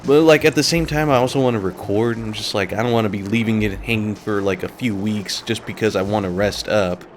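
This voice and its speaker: A man's voice: on the low side, fairly quick, slight background noise. A man hosting a let's play, talking casually and rambling while sick and a little nasal. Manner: casual, rambling, laughs often. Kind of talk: gameplay commentary.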